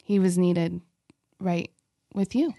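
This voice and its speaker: A young woman co-hosting a family faith podcast in casual chat, earnest and quick to laugh. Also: fairly low pitch, gravelly, no room sound, studio quality. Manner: warm, laughs often, earnest about faith. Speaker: podcast co-host.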